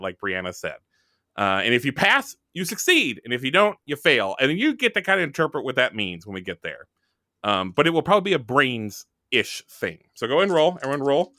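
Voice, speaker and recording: Bright, animated man running a game. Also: mid-range voice, no echo, studio quality. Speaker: game facilitator explaining rules. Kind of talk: casual tabletop game explanation.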